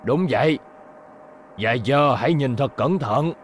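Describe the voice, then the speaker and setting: A man's voice: medium pitch, lively intonation, unhurried, slight background noise, lightly acted. A man dubbing an elderly, authoritative and exasperated professor in a cartoon, speaking emphatically. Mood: thoughtful, explaining. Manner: stern, emphatic, explanatory.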